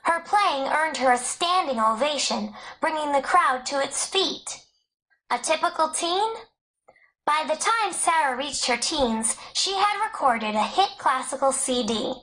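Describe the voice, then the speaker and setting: A woman narrator with a high voice reads scripted educational material aloud. She delivers it as prepared narration for learners. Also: animated, unhurried, pushed and bright, low-quality recording. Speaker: audiobook narrator.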